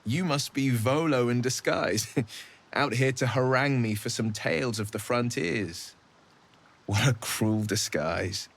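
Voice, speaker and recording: A gallant young adventurer from a fantasy game. His voice sits at an ordinary male pitch with a slightly husky grain. He speaks in courtly, eloquent phrases, earnest and romantic with a teasing streak. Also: a little slow. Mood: tender, shy. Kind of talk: video game dialogue acting.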